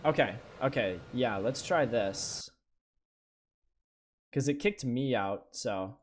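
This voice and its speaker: A young man from Canada, live-streaming a flight game and chatting with viewers in a stammering, excitable way. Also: medium pitch, slow. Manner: animated, stammering, talks to chat. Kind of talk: live gameplay stream chat.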